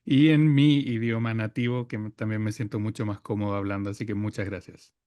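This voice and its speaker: A man from Chile, a podcast guest who speaks reflectively, pausing often with fillers as he gathers his thoughts. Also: fairly low pitch, little pitch movement, warm tone, no room sound. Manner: thoughtful, many hesitations and fillers. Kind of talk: reflective conversation.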